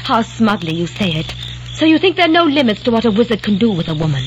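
A woman's voice: fairly high pitch, sing-song, fast talker. An actress playing a frightened young woman breaking her engagement in an old radio drama, speaking tense and defiant. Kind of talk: 1940s radio acting. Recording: noisy old radio recording.

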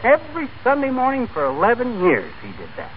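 An actor playing a folksy, wisecracking small-town husband in a 1940s radio comedy. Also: male voice, very high voice, wildly swooping pitch, brisk, full character voice. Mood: boastful, folksy. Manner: folksy, slangy, wisecracking delivery. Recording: noisy, tinny old radio recording.

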